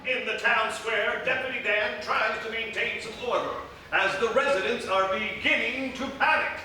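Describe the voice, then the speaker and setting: A man's voice: very high voice, fairly even intonation, normal speed. A man playing the melodramatic narrator of a monster spoof in a live comic radio play. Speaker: narrator and actor. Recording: echoey theatre, distant mic, faint noise.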